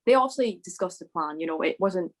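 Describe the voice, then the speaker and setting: A woman's voice: lowish voice, animated, a little fast. A woman co-hosting a casual motorsport chat in an informal, opinionated way. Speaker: podcast co-host.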